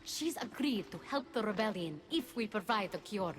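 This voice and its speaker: An actress playing a stern, commanding goddess-like mentor in a video game, measured and disdainful. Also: female voice, mid-range voice, animated, close to an everyday voice. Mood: solemn, instructing. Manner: imperious, measured, solemn. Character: stern, commanding divine female mentor, coolly disdainful of feelings. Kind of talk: video game cutscene acting.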